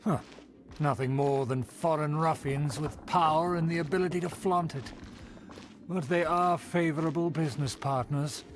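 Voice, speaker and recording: A man's voice: a little high, fairly even intonation, moderate pace, background noise, natural voice. An actor playing a pompous, condescending nobleman in a video game, a schemer who is easily offended. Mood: boastful.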